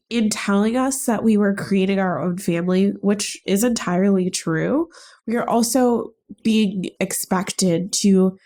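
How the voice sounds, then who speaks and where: Woman with a stuffy cold nose, mellow voice, gentle earnest podcast talk. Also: fairly low pitch. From United States. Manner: earnest, gentle, thinking aloud. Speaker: podcast host. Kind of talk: reflective solo podcast talk.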